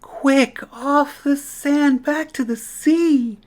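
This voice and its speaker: A man's voice: extremely high pitch, fairly even intonation, voice pushed up, full character voice. A man voicing a tiny, plucky female sea snail from a storybook, earnest and eager, drawing out the words. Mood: urgent. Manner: earnest, drawn-out exclamations.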